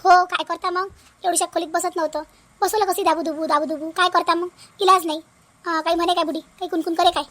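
A very high, fast-talking village wife acted by a woman speaks rustic Vidarbha Marathi. She is shrewd, practical and aggrieved, a scolding mother from a comic family cartoon. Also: steady tone, fairly bright tone. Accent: rural Vidarbha Marathi.

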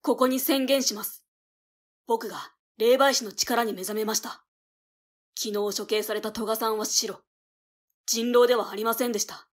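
A woman's voice: fairly high pitch, steady tone, normal speed, long pauses, close to an everyday voice. Woman voicing an earnest, hot-headed boy. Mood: solemn. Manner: polite but accusatory. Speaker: voice actor. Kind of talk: anime-style voice drama acting.

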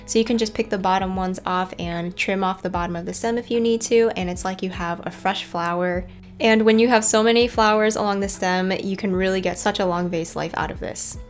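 A woman's voice: mid-range voice, steady tone, brisk. A woman narrating a casual garden tour in a chatty, informal way. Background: background music.

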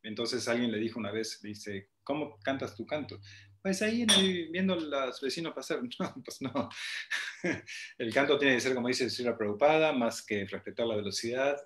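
A man's voice: medium pitch, expressive, normal speed, a bit bright. Man teaching a class over video call. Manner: explains with hand gestures, asks the group questions. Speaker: spiritual teacher. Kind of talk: online class reading and explaining scripture. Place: small room with plain wall, video call. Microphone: laptop webcam mic on a video call.

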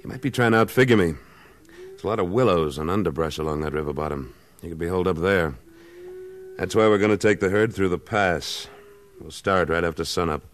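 A man's voice: low voice, fairly quick, natural voice. A man playing a tough, commanding frontier cattle boss in a western radio drama, terse and authoritative. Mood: resolute. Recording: noisy old-time radio recording.

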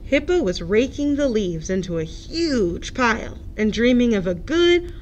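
Slow, high-voiced woman reading a picture book. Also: big pitch swings, a little mellow, slight background noise. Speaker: children's librarian reading at story time. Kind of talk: expressive children's picture-book narration.

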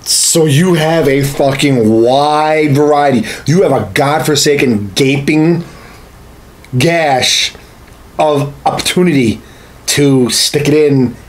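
A man's voice: on the high side, takes it slowly. A man in his thirties chatting casually as a podcast co-host, giving his opinions emphatically. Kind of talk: casual chat. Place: small home studio room. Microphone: podcast mic on desk boom arm.

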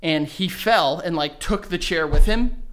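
A man's voice: a little high, a little slow, a bit bright. A man in his thirties co-hosting a casual podcast chat from a small home studio, rambling and swearing as he acts out scenes with his kids. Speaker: podcast co-host. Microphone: studio mic on a desk stand, headphones.

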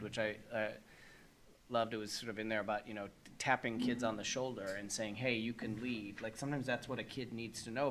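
Animated man at a board meeting. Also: medium pitch, a little hiss or hum. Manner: animated, quoting students in lively voices. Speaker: school district administrator. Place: meeting room. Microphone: desk gooseneck mic.

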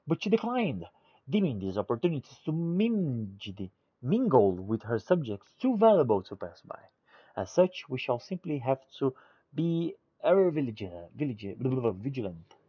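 A man giving casual in-character game commentary and reading notes aloud, halting and muttering as he goes. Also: medium pitch, sing-song, husky, no room sound, lo-fi sound. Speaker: gamer roleplaying and narrating a playthrough.

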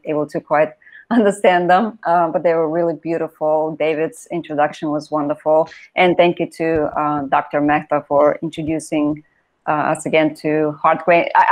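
A woman in her thirties from Bulgaria, reading her own poems in a soft, measured, lyrical way, on a video call from a room at home. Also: deep voice. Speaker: poet reading her work. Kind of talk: poetry reading. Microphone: video call, laptop camera.

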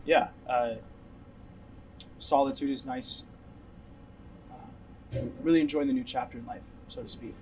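An actor with an American accent playing a sardonic, teasing brother in a naturalistic drama. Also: male voice, mid-range voice, unhurried, pauses often, a little mellow, slight background noise, natural voice. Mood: subdued, concerned. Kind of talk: naturalistic film dialogue.

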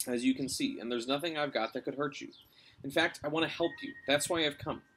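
A man's voice: on the high side, a bit bright, pitched above the performer's own voice, poor audio. A man reading the part of a brave young storybook girl who pleads politely and earnestly. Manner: deferential, earnest. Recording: faint outdoor ambient noise.

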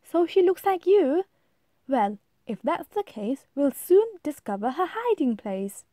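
A woman's voice: sits high, big pitch swings, measured pace, warm tone, no echo. A woman voicing a helpful, confident butterfly in a rhyming storybook, eager and encouraging. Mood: excited. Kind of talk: rhyming storybook read-aloud.

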